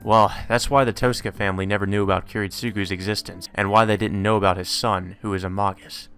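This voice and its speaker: A man's voice: on the low side, steady tone, quick pace, fairly bright tone, soft background music. A man doing a let's play, reading visual novel text aloud with occasional asides. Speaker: let's player.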